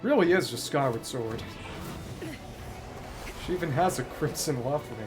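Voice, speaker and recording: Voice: medium pitch, animated, moderate pace. A game streamer with a wry manner, reading dialogue aloud and reacting with quips over video game music.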